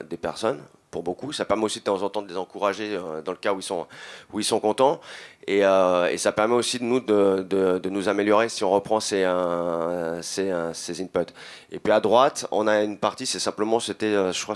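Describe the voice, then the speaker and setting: A man's voice: sits low, clear, resonant tone. A man in his thirties speaking on a conference panel, hesitant and often repeating himself, into a handheld microphone. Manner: hesitant, halting delivery with frequent self-repetition. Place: indoor conference stage. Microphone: handheld microphone held close.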